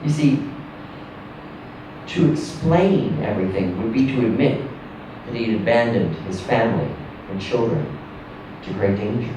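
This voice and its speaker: A man reading a literary short story aloud in a theatrical, emphatic manner, with deliberate pauses and swells. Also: on the low side, animated. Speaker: story reader. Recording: distant echoey room with faint noise.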